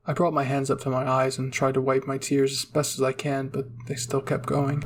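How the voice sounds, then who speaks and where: A man narrating first-person fan fiction as a roleplay reading, in a soft, dramatic storytelling manner. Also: medium pitch.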